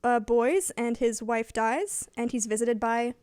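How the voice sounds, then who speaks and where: Higher-voiced woman in casual book chat. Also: a little slow, a little mellow, smooth, dry recording, clean studio sound. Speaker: book talk co-host, English teacher.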